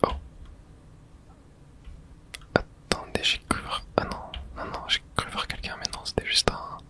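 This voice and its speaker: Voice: a little slow, pushed and bright. A person whispering breathily while narrating their own video game play in an ASMR style, murmuring reactions and mild swearing.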